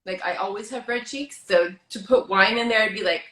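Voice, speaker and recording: A woman in her thirties hosting a casual interview, chatty and giggly, firing off light quick questions. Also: mid-range voice, pushed and bright, a little hiss or hum. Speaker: host. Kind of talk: casual video chat interview. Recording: echoey room.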